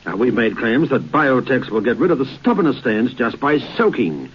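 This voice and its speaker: A man's voice: medium pitch, animated, moderate pace. A man reading a radio advert in a persuasive, earnest way. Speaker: advertising announcer. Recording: dull husky old radio broadcast.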